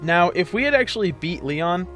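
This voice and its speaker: A man's voice: sits high, lively intonation, moderate pace, fairly bright tone. A young man giving casual, explanatory commentary as he plays a video game. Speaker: gamer hosting a let's play. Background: game music.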